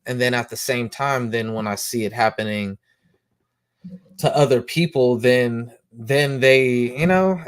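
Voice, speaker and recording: A man hosting a comic book show, rambling casually in slang, who says he has a loud voice. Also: mid-range voice, a little slow, smooth, no echo. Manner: slangy, rambling, lots of "you know what I'm saying". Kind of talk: casual monologue.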